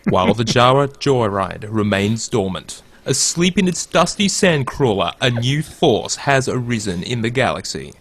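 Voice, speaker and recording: A man's voice: mid-range voice, big pitch swings, normal speed, few pauses. A man hosting a casual gaming podcast who runs through news and reads a write-up aloud. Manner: reads a write-up aloud in a mock movie-trailer voice. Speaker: podcast host.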